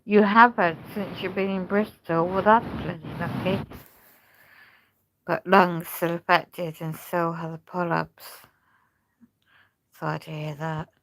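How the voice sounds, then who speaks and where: A woman chatting casually on a livestream, talking in a halting, rambling way while she loses her voice. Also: lowish voice, steady tone, fairly bright tone, slight background noise, rough recording. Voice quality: gravelly. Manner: rambling, halting speech with many restarts. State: losing her voice. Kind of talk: casual livestream chat with viewers.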